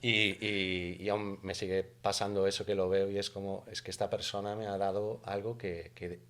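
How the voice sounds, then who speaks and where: A man, a guest actor talking in a relaxed, reflective way with frequent hesitations. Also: low voice, normal speed. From Galicia, Spain. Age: 50s. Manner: reflective and hesitant, with many restarts and fillers. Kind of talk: casual interview chat.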